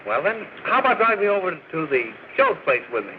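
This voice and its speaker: A man's voice: on the high side, big pitch swings, brisk. An actor playing an eager, friendly young man in an old film, folksy and polite, on a tinny noisy soundtrack. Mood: friendly, coaxing. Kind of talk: old film dialogue acting.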